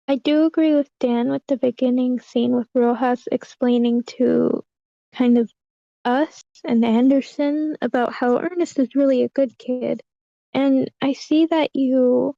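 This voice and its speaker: Woman, high voice, mellow and dark tone, tentative giggly casual critique, lo-fi online call. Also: no echo. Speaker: table-read participant giving script feedback. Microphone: online meeting call. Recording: dull lo-fi online meeting audio.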